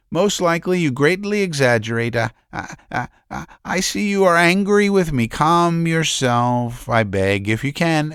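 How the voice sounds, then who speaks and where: A man voicing a gentle, guilty middle-aged doctor who speaks softly and stammers. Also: fairly high pitch, clear, resonant tone, no echo, clean studio sound, heavily acted. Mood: soothing. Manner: soft-spoken, stammering.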